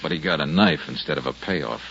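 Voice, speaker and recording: A confident, wry private eye in a fifties radio mystery. His delivery swings widely and brightly, brisk and full of wisecracks, on a dull old recording with faint noise. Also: a bass voice, animated, vocal fry, heavily acted. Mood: wry, explaining. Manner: brisk, wisecracking, self-assured. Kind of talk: 1950s radio detective drama acting.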